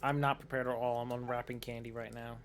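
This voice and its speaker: A man's voice: mid-range voice, level tone, fast talker. A man doing casual gameplay commentary as a streamer, with wry, chatty asides. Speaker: game streamer. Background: game music.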